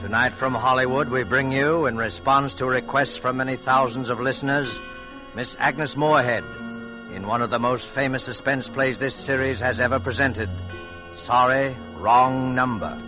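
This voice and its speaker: A man with an American accent announcing a 1940s radio suspense drama in a formal, measured, dramatic way. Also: mid-range voice, steady tone, close to an everyday voice. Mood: grave, formal. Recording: muffled vintage radio broadcast, music behind.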